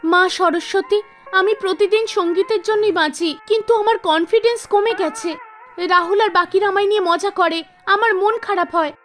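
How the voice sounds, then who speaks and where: A woman voicing an earnest, devout and kind young girl singer in an animated story, speaking emotively. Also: extremely high pitch, moderate pace, soft background music. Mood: sad, pleading.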